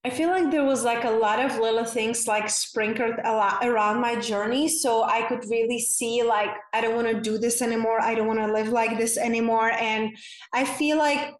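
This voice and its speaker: A woman in her forties from the Czech Republic with a Czech accent, a podcast guest talking earnestly and warmly about her life, using many fillers. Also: on the high side, steady tone, normal speed, reverberant. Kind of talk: casual interview chat.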